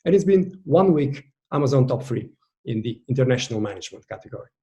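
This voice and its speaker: A man with a Bulgarian accent, a native Bulgarian speaker, presenting an online masterclass as a team coach in an earnest, deliberate way, leaning on key words. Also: medium pitch, lively intonation, dark tone.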